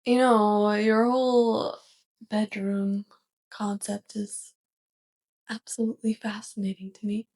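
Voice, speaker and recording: A woman playing a curious, polite, awkward alien roommate in an ASMR roleplay, with soft, stilted, over-formal and hesitant speech. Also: medium pitch, level tone, takes it slowly. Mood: earnest, awkward.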